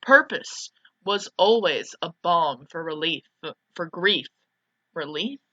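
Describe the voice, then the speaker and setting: A woman's voice: fairly low pitch, lively intonation, slow, sharp tone. A woman narrating a visual novel aloud, reading dramatically and breaking off into casual side comments. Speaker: video game streamer and narrator. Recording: dull lo-fi mic with music behind.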